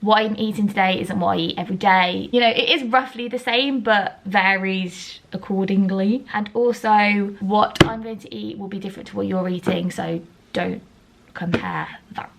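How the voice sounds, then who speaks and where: Slightly croaky young woman vlogging. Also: mid-range voice, moderate pace, noisy background. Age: around 20. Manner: chatty, rambling, self-deprecating. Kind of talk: casual chat to camera. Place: at home.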